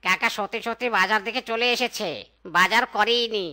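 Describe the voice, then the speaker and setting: A woman as a bright, cheeky cartoon vegetable seller. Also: on the high side, steady tone, a little fast. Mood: teasing. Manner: chatty, teasing. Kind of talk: comic cartoon acting.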